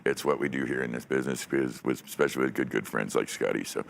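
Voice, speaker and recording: A middle-aged man hosting a podcast from a dark studio set, talking on a studio mic in a rambling, profane way and laughing often. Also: a bass voice, fast talker, vocal fry, a little hiss or hum. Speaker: podcast host. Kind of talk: casual chat. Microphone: studio mic on a stand.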